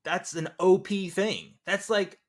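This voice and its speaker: A man's voice: high-pitched, steady tone, slow pace. A man chatting casually about films as a podcast panelist, thinking aloud in a conversational way. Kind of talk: casual film discussion.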